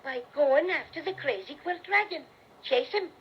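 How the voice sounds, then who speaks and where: A man's voice: extremely high pitch, expressive, moderate pace, gravelly, a little hiss or hum, exaggerated voice. An actor playing a small, cheerful male teddy bear with a slight Irish brogue in a vintage radio play. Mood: friendly. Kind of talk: 1930s radio play acting. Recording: dull lo-fi vintage radio recording.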